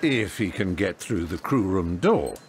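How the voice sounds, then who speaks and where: A man's voice: low voice, very animated, a little slow, noisy background. A man narrating a documentary in a scripted voice-over style. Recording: voice-over with music behind.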